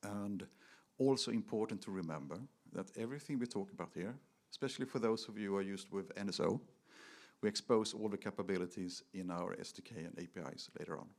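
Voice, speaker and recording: Low-pitched man presenting tech. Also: fast talker, darkish tone. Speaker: conference presenter. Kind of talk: technical product presentation to an audience.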